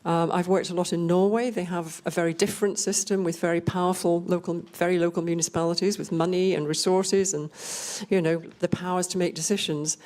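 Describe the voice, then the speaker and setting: Older female panel speaker from Scotland, slightly low voice, measured and explanatory delivery. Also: darkish tone. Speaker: panel speaker on rural communities. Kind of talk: unscripted panel presentation.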